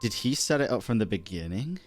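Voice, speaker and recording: Animated man reacting to a game. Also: fairly low pitch, a little fast, faint music behind. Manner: muttering, slangy interjections, mock-serious quips. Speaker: game streamer reacting to a story quest. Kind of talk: casual gameplay reaction commentary.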